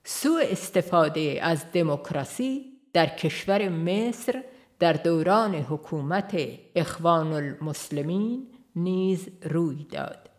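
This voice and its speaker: A woman reading an audiobook aloud in a calm, measured way, pausing gently between phrases. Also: on the low side. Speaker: audiobook narrator. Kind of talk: scripted audiobook narration. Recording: faint music behind the voice. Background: soft background music.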